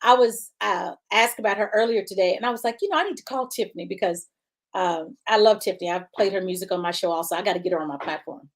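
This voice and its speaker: A woman's voice: mid-range voice, fast talker. A woman hosting a casual live-stream chat, bubbly, laughing often and reading viewer comments aloud. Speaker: show host.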